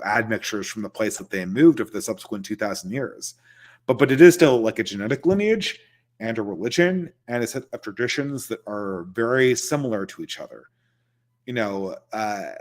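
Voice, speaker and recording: A man hosting a casual livestream, answering viewer questions in loose, conversational talk and chuckling between points. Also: on the low side.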